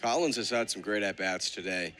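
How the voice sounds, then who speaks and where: Bright-toned male baseball color commentator. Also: on the low side, a little fast. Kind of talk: live radio sports analysis.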